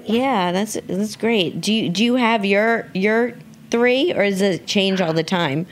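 A woman's voice: mid-range voice, a little slow, slight background noise. A woman hosting a podcast in a conversational interview style. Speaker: podcast host.

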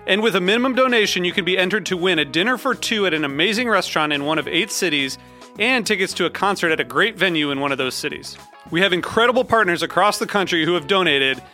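A man's voice: sits high, sharp tone. A man reading a scripted fundraising appeal for a radio network in an earnest, polished way. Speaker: network announcer. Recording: music bed behind voice.

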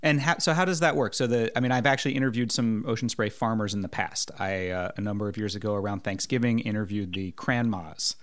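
A man hosting a podcast, reading a measured intro and then asking questions with some hesitation. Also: on the low side, fairly even intonation, fairly bright tone, no room sound. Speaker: podcast host. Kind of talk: scripted intro then casual interview.

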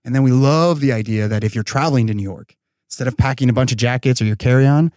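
A man's voice: mid-range voice, fast, darkish tone, no room sound, clean studio sound. A man speaking as a startup founder and podcast guest, in casual, enthusiastic, slangy talk peppered with "like" and "you know.".

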